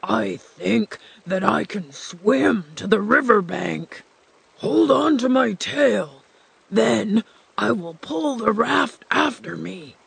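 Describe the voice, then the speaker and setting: A woman voicing a timid, fearful male lion in a storybook reading, hushed and gravelly. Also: medium pitch, expressive, slow, crowd noise, voice pushed up, heavily acted. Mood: hopeful.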